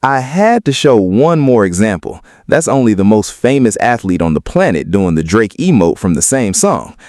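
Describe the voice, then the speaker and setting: A man's voice: lowish voice, sing-song, moderate pace, darkish tone, no room sound, clean studio sound. A man giving opinionated video commentary in an emphatic, argumentative way. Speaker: video commentator on hip-hop.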